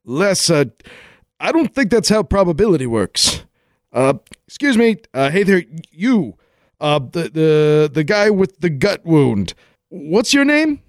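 An actor playing a hesitant but sensible adventurer sidekick, in old radio serial style. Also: male voice, on the high side, big pitch swings, a little slow, no echo, studio quality. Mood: hesitant, urging. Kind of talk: parody 1940s radio serial acting.

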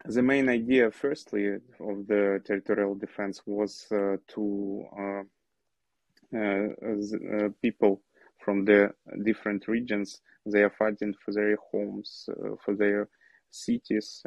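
Man, Ukrainian accent, slow halting English, many fillers, dull lo-fi recording. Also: lowish voice, flat delivery, creaky, a little mellow, no echo. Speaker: soldier, platoon commander. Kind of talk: interview answers in a second language.

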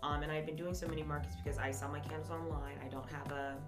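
Low-voiced upbeat woman in an echoey room. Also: fairly even intonation, quick pace, over music. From Jacksonville, Florida, US. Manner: chatty, upbeat and enthusiastic. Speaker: guest, candle business owner. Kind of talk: casual conversational interview.

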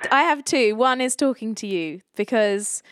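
A woman's voice: on the high side, lively intonation, moderate pace, a bit bright, smooth, no echo. A woman hosting a casual phone chat, friendly and enthusiastic, giggling now and then. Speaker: podcast host.

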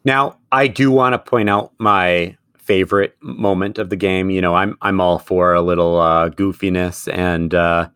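A man's voice: low voice, fairly even intonation, measured pace, few pauses, a bit bright, clear, resonant tone, dry recording, clean studio sound. A man who is a middle school teacher, co-hosting a casual sports podcast and laughing often as he talks. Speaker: podcast co-host.

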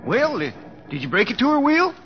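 An actor playing a fretful, grumbling cowboy sidekick in an old-time radio drama. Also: male voice, very high-pitched, wildly swooping pitch, a little fast, muffled recording, exaggerated voice. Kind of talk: 1940s-50s radio drama acting.

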